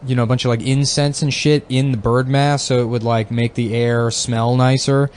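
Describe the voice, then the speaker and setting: A man co-hosting a comedy podcast, riffing in a dry, rambling, deadpan way with plenty of swearing. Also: medium pitch, normal speed, a little hiss or hum. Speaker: podcast co-host. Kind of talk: casual comedy podcast banter.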